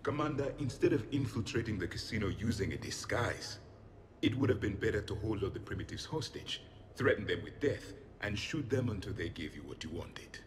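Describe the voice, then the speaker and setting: An actor playing a proud, ruthless alien warrior in a video game, formal, deliberate and coldly superior. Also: male voice, fairly low pitch, lively intonation, slight background noise, close to an everyday voice. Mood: haughty, matter-of-fact. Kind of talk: video game cutscene dialogue.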